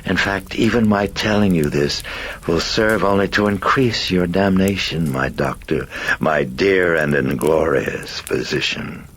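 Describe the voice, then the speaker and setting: A man voicing a sly, courtly demon, mocking and theatrical, with a croaking voice in an audiobook story. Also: deep voice, a little slow, a bit bright, slight background noise, pitched above the performer's own voice. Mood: grandiose, gloating. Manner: theatrical, archly polite, taunting.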